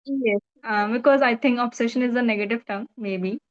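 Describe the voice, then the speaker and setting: A woman's voice: on the high side, moderate pace, no echo, highs cut off. A woman with an Indian accent, practicing English in casual talk, sometimes hesitant and searching for words.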